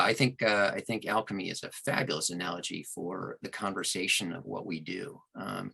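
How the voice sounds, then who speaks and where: Bright, forceful low-voiced man. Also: vocal fry, poor audio. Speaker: interview guest, audiobook narrator and producer. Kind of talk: conversational explanation of craft.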